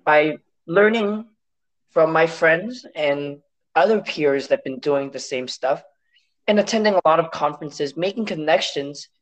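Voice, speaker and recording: A man from Hong Kong whose first language is Cantonese, speaking as an interview guest in a measured, reflective way with some restarts. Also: a little high, low-quality recording. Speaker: guest, science story editor.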